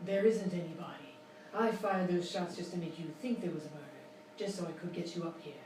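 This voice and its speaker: A woman's voice: on the low side, brisk, darkish tone, close to an everyday voice. A woman playing a sultry, flirtatious femme fatale in a live noir radio parody. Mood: flirtatious, coy. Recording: echoey live room, distant mic, noisy.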